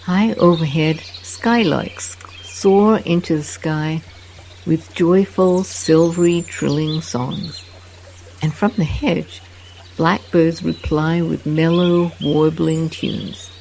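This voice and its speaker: Slow, mellow meditation guide. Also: mid-range voice, fairly even intonation, gravelly, slight background noise, poor audio. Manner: soft, soothing, unhurried delivery with long pauses.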